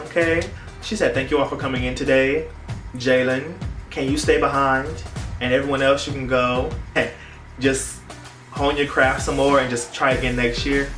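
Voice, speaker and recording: Echoey, casual young American man storytelling. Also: mid-range voice. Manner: animated storytelling, acting out quoted dialogue. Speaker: vlogger. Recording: roomy echo, distant mic, faint music.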